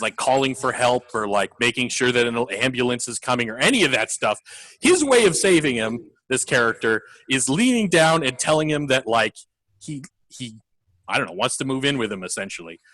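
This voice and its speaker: Animated, rambling male podcast host. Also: medium pitch, brisk, dry recording, poor audio. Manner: animated, rambling with many fillers. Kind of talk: casual film review chat.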